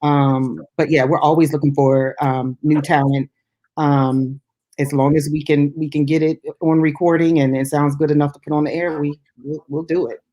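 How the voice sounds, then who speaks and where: Low-voiced woman, casual radio co-host. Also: level tone, moderate pace, warm tone. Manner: chatty, rambling, laughs easily. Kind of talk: casual unscripted chat.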